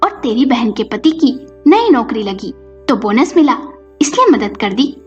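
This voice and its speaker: A woman storyteller voicing a defensive, quick-tempered older mother-in-law in a family story. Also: fairly high pitch, big pitch swings. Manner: indignant, scolding retorts. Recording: muffled recording with music behind.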